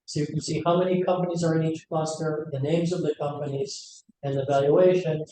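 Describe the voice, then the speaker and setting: Male company presenter, higher-pitched, hesitant delivery; heavily reverberant recording with noticeable noise. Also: fairly even intonation, a little fast. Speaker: company executive presenting earnings call. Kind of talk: investor presentation with slides. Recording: very echoey room, noisy rumbling background.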